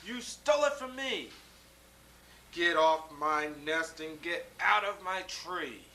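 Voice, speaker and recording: A woman's voice: lowish voice, lively intonation, slow pace, bright, forceful tone, heavily acted. A man voicing a lazy, selfish female bird in a read-aloud storybook, sputtering and demanding. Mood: indignant. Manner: squealing, sputtering.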